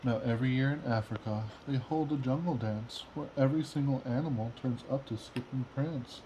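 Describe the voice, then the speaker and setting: A man with a medium-pitched voice reads a children's book aloud. He is measured and expressive, like a dad telling a story at home. There is faint noise in the background. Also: poor audio. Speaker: father reading to child. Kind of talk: storybook read-aloud in rhyme.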